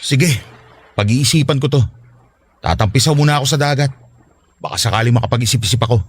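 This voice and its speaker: A man's voice: mid-range voice, fast, slight background noise, lightly acted. An actor with a Filipino accent playing a devoted but reluctant husband, earnest as he talks with his wife in a radio drama. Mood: apologetic, torn. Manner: protesting, earnest.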